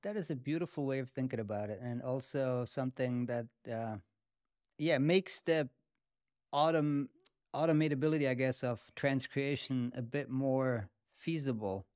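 A man in his thirties, a native German speaker, hosting a podcast interview in a quick, friendly, casual way with many fillers. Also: medium pitch, darkish tone, clean tone, no echo, clean studio sound. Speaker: podcast host. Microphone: studio podcast mic.

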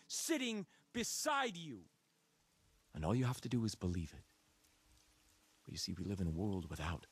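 An intense, messianic cult leader preaches to his flock in a dramatic game sermon. He builds his points through deliberate repetition and rhetorical questions. Faint rain and hiss sit behind his voice. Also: high voice. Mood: impassioned, scornful.

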